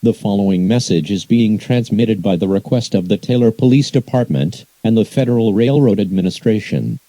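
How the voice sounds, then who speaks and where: A man's voice: lowish voice, animated, normal speed, mellow, low-quality recording. A man reading scripted emergency alerts as an announcer, in a formal, impersonal, synthetic-sounding delivery.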